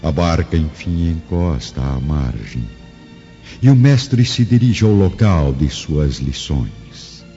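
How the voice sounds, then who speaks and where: An actor playing the narrator of a radio drama, solemn, deliberate and literary in his scripted storytelling. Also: extremely low pitch, big pitch swings, mellow, exaggerated voice. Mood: solemn, reverent. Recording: dull lo-fi recording, faint music behind. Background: faint music.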